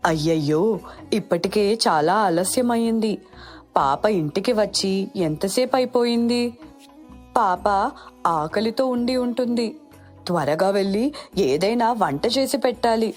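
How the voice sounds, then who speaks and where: A woman's voice: medium pitch, expressive, unhurried, darkish tone, soft background music, lightly acted. A woman playing a poor, humble village mother in a story cartoon, with a plaintive and earnest manner. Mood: anxious. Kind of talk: dramatized moral story dialogue.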